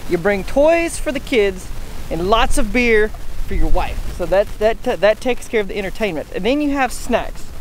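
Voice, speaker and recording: High-voiced guy vlogging outdoors. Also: animated, normal speed, a little hiss or hum, poor audio. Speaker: fishing vlogger. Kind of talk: casual vlog to camera. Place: outdoors by the water at a beach, later a garage. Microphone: camera held at arm's length, later clip-on lavalier.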